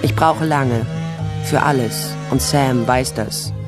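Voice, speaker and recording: A woman's voice: very deep voice, slow pace, over loud music. Dry, blunt female investigator. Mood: wry, reflective. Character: tough, wry, insistent female private investigator. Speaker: narrator and lead actress. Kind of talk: radio crime drama narration and dialogue.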